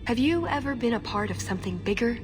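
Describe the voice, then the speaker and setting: A woman's voice: on the high side, animated, quick pace, lightly acted. A woman playing an earnest, idealistic elf agent in a video game cutscene, conversational with wry touches. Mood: earnest, wistful. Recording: reverberant, music under the voice. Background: music.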